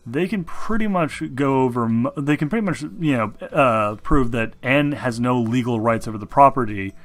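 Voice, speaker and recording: A man running a tabletop game, talking casually and haltingly with some swearing as he improvises the narration. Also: medium pitch. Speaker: game master. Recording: music behind the voice. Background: background music.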